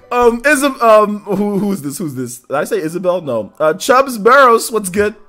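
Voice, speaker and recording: A high-voiced man hosts a casual livestream, greeting viewers by name in quick, upbeat bursts. His nose is a little stuffy, and faint music plays under his voice. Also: huge pitch swings. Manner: upbeat, rapid shout-outs, laughs often. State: slightly stuffy nose after illness. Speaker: livestream host. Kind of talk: casual livestream chat, reading out viewer names.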